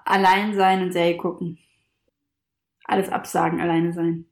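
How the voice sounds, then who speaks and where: A woman co-hosting a casual chat podcast, talking in a relaxed, teasing, colloquial way. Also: on the low side, normal speed, roomy sound. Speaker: podcast co-host.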